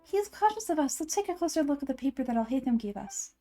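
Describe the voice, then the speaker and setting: A woman voicing a small, excitable, childlike fairy companion in a video game, full of exclamations. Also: high-pitched, fast talker, soft background music. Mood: excited, alarmed. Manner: exclamatory, speaks of herself in third person.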